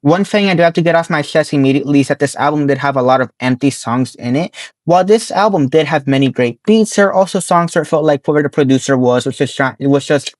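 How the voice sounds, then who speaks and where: A man talks through album reviews with a hoarse, worn-out voice pitched a bit high. He reads quickly from his notes and corrects his own slips. The sound is close and dry, with no room echo. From Nevada, US. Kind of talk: casual scripted review.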